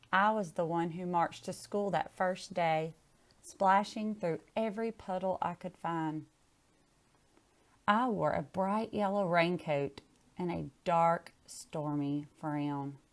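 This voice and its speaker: A woman's voice: on the low side, takes it slowly. A woman chatting casually and reading a picture book aloud. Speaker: storybook reader.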